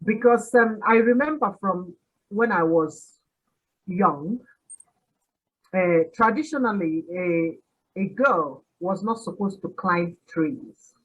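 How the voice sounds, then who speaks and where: A woman in her sixties with a Nigerian accent and Igbo as her first language speaks slowly, in an unhurried, measured way. Her voice is husky and she laughs easily between pauses. The audio is dull and lo-fi. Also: medium pitch. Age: 60s. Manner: storytelling, laughs often, many "uh" pauses. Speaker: podcast guest. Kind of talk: reflective interview answer.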